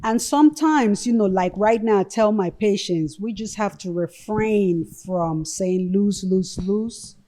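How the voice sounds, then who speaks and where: A woman's voice: mid-range voice, measured pace, hardly any pauses, warm tone. A middle-aged woman from Cameroon, a nurse practitioner speaking earnestly as a podcast guest, with lots of "you know" and "um". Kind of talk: podcast interview.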